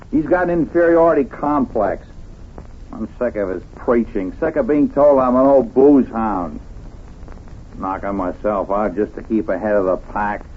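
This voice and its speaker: An actor playing a boastful, belligerent drunken husband in an old-time radio drama, with a taunting, slurred drawl. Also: male voice, mid-range voice, a little hiss or hum, close to an everyday voice. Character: boastful, belligerent drunken husband, hard-driving high earner. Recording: dull vintage radio recording.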